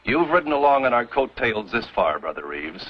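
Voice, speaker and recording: A man's voice: mid-range voice, big pitch swings, moderate pace, slightly rough. An actor playing a stern, grave middle-aged pioneer churchman in a 1950s television western, solemn and insistent. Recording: muffled old TV soundtrack, faint noise.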